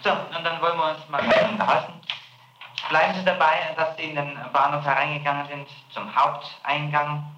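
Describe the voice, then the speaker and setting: A man working as a public prosecutor, questioning a suspect in a probing, calmly skeptical way. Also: a little high, moderate pace, muffled recording. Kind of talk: archival interrogation recording. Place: prison interrogation room. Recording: roomy old tape recording with faint hiss.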